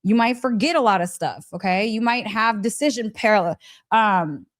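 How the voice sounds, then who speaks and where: A woman's voice: mid-range voice, moderate pace. A woman giving a casual, motivational wellness talk as a live coach, conversational and emphatic, often checking in with her listeners. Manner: conversational, emphatic, frequent check-in tags, breaks into laughter.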